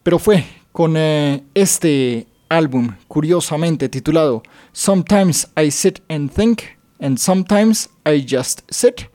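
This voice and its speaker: A man hosting a music radio show, introducing songs in a relaxed, casual way with frequent "eh" pauses. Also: fairly high pitch. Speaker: radio DJ and host.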